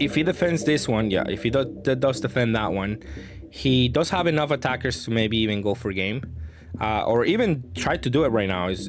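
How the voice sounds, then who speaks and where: A man giving casual gameplay commentary, thinking aloud in a hesitant way as he works through his options. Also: medium pitch. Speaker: card game player and channel host. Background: background music.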